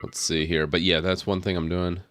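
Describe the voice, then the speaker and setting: A man, slightly raspy from a head cold, talks casually on a live stream, thinking aloud as he works with lots of fillers and small laughs. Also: low voice, little pitch movement, normal speed, a bit bright. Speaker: tech streamer. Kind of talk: casual live stream talk while working on screen.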